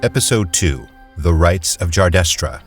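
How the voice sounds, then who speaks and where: Casting: very deep male narrator, measured and dramatic, music bed underneath. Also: lively intonation. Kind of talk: scripted audio drama narration.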